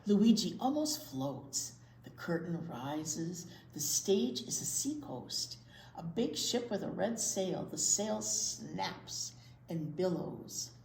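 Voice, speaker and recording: An American woman reading aloud at a storytime for young children, expressive, with drawn-out words and chatty asides. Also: lowish voice, gravelly. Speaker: children's librarian and storytime reader. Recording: roomy echo, faint background noise.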